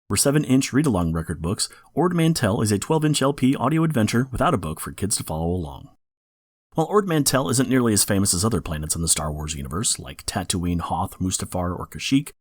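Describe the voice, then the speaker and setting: Low-voiced man hosting a podcast, lively intonation. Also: slightly rough, no echo. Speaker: podcast host. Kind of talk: scripted informative narration.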